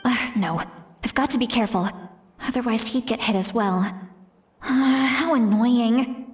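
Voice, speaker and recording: A woman's voice: on the high side, unhurried, a little hiss or hum, lightly acted. A woman voicing a feisty, grumpy but protective grandmother elder in a video game, theatrical and exasperated. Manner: theatrical, exasperated, self-talking.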